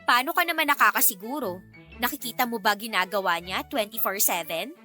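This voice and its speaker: A feisty young woman in a romance audio drama, with a high, sharp, bright voice. She is quick to bristle and fires back indignant, teasing retorts over background music. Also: animated. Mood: argumentative. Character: feisty, easily annoyed young woman bantering with a friend. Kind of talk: Filipino radio-style romance drama acting. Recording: music behind the voice.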